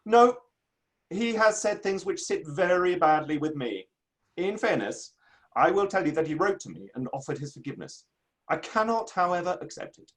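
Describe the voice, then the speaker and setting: A male actor as an indignant literary gentleman of the Victorian age, in a dramatic play reading. The voice sits on the high side and moves with great animation. The phrasing is grandiose, formal and touchy. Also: big pitch swings, moderate pace, poor audio. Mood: indignant, proud. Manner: declamatory, grandiose, formal old-fashioned phrasing. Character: indignant Victorian literary man, proud, theatrical, easily affronted.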